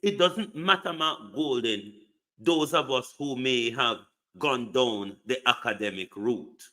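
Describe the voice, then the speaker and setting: A man's voice: mid-range voice, slow pace, sharp tone. A man giving an emphatic political commentary monologue, full of repeated rhetorical questions. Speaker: online commentator.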